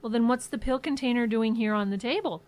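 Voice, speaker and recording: An actress playing an exasperated, watchful grown daughter in an audio drama, blunt and scolding. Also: female voice, fairly high pitch, fast, a little mellow, lightly acted.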